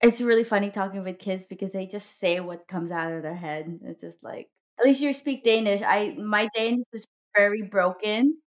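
A woman's voice: medium pitch, moderate pace, few pauses. Friendly woman interviewer. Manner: friendly, laughs often, trails off mid-sentence. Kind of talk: casual interview chat.

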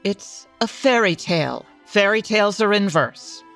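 A woman's voice: mid-range voice, lively intonation, a little slow, a bit bright, soft background music. A woman as announcer, giving theatrical storybook narration in the manner of old-time radio.

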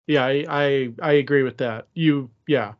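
A man's voice: medium pitch, steady tone, a little slow, dull audio. A man hosting a podcast, moderating a casual academic discussion.

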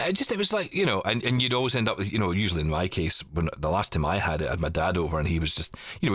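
A man hosting a tech podcast, chatting casually and telling stories with quick asides. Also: sits low, a bit bright, dry recording, studio quality. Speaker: podcast host. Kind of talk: casual chat.

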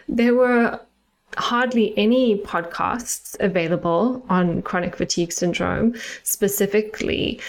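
A middle-aged woman from South Africa with a South African accent, hosting her own podcast in a measured, earnest, explanatory way. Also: mid-range voice. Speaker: podcast host and nutritional therapist. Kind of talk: scripted solo podcast monologue.

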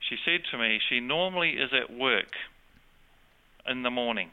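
A man's voice: medium pitch, lively intonation, moderate pace, smooth, phone-quality sound. A man with a New Zealand accent, a guest telling a story of his faith in earnest, conversational testimony, with frequent restarts. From born in Australia, grew up in New Zealand. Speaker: interview guest.

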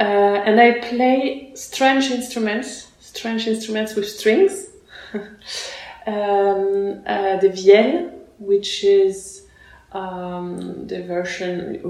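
A woman born in Russia answers interview questions at an unhurried pace, pausing often with fillers and false starts. Her voice sits in a very echoey room. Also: medium pitch, a little mellow. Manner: hesitant, many fillers and restarts. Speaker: guest musician.

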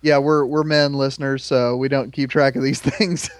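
A man in his thirties hosting a podcast in a relaxed, conversational way, slightly hoarse from a cold. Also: mid-range voice, moderate pace. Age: 30s. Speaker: podcast host. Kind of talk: casual chat.

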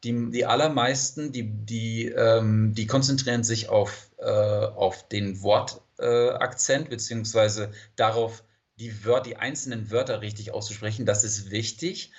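A man's voice: fairly low pitch, fairly even intonation, a little slow. A man, an English speaker, answering interview questions with many fillers and restarts. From United States. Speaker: interview guest, language teacher. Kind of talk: casual interview conversation. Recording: dull lo-fi sound.

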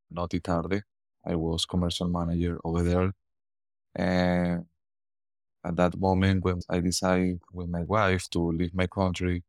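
A man in his forties from Venezuela with a Venezuelan Spanish accent, answering interview questions earnestly and haltingly, often repeating words as he searches for phrasing. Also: deep voice, no echo. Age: 40s. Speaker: guest.

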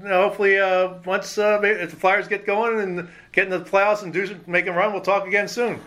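High-voiced man hosting a sports podcast. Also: little pitch movement, brisk, pushed and bright. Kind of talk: podcast interview.

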